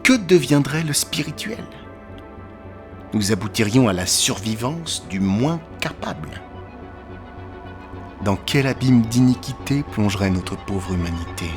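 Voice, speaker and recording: An actor playing a brisk, provocative detective in an audio drama, with a theatrical, declamatory delivery. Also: male voice, fairly low pitch, animated, over music. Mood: grave, warning. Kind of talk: French audio drama acting.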